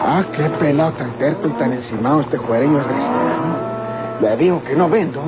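A man playing a gruff, irritable Mexican rancher in a golden-age radionovela, with a Mexican accent and emphatic delivery. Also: a little high, normal speed, over loud music, natural voice. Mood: grumbling. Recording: tinny, noisy old radio recording.

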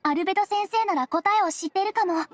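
An earnest girlish scholar from a game, with a very high voice. She is gentle, precise and thoughtful, with music playing behind. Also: quick pace. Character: earnest, studious, soft-spoken young female researcher. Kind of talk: Japanese game voice acting.

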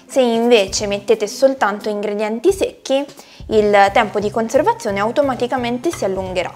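A young woman walks through a recipe in her home kitchen, explaining each step in a chatty way and pausing on small fillers. Her voice sits a little high, with light music playing faintly behind her. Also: darkish tone. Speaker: cooking channel host. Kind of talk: recipe tutorial, talking to camera.

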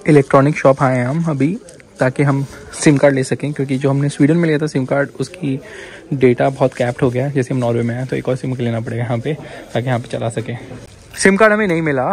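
A man's voice: medium pitch, quick pace. A man in his thirties chatting and joking as he films a casual travel vlog, walking outdoors in the cold and through a shop with a handheld camera. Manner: chatty, joking, lively narration while walking and shopping. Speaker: vlogger. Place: outdoors in cold weather, a supermarket, a motorhome. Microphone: handheld action camera.

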